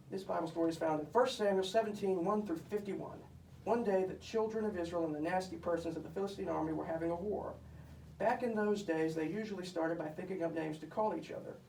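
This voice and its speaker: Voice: medium pitch, gravelly, warm tone, lightly acted. A performer voicing a boastful, bossy puppet storyteller, pompous and emphatic, telling comic Bible tales. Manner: pompous, emphatic, mock-scholarly. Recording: echoey room, distant mic, faint noise.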